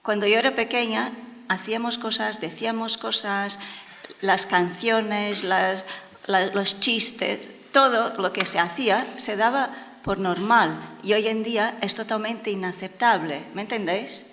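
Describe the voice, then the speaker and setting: A woman's voice: medium pitch, measured pace, fairly bright tone, slight background noise. A woman from Brazil speaking Spanish with a Brazilian Portuguese accent, giving an earnest, emphatic talk at an education conference and repeating words for stress. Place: large conference hall. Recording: long hall reverb.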